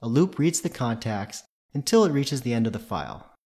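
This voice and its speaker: A man's voice: mid-range voice, sing-song, moderate pace, darkish tone. A man presenting a tutorial, reading scripted technical narration in a methodical, matter-of-fact way.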